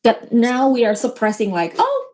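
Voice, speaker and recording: Mellow-voiced woman, earnest guest. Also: on the high side, wildly swooping pitch, normal speed. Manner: earnest, motivational, slightly non-native grammar. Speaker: business owner, guest. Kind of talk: casual interview chat.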